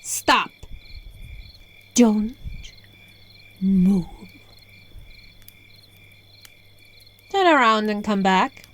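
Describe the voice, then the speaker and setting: A woman's voice: mid-range voice, lively intonation. A woman voicing a seductive, teasing, controlling witch in an ASMR roleplay, coaxing the listener, recorded on a phone microphone. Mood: stern, controlling. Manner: slow, coaxing, breaking into whispers and giggles.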